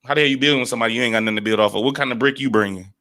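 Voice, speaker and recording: A man's voice: medium pitch, rapid-fire, pushed and bright. A man in his late twenties chatting casually on a podcast, blunt and profane, talking things through in a laid-back way. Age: 20s. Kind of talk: casual chat.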